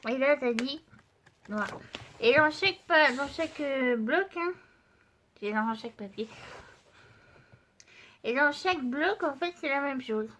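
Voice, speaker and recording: A woman's voice: high voice, a little slow, pauses often, fairly bright tone, slight background noise. A woman with a stuffy voice from a cold, chatting casually to her viewers as she shows craft supplies and tests paper, with many fillers. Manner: chatty, addresses viewer directly, frequent fillers. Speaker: art channel host.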